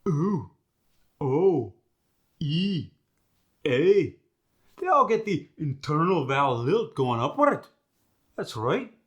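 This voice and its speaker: A man's voice: fairly high pitch, sing-song, measured pace, a bit bright, highs cut off. A man playing a boastful Scottish dialect master, demonstrating sounds in an emphatic, swaggering manner for a comic lesson. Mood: instructive, confident.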